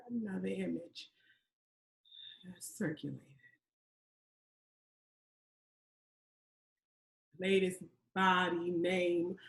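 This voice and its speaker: A woman acting a guarded, firm character in a live staged play reading, with deliberate, emphatic phrasing over a video call. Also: fairly low pitch, roomy sound, poor audio. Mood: somber, reflective. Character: guarded, firm woman confronting an acquaintance over racial politics. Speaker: actor in a virtual staged reading. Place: indoor room, streamed over video webinar.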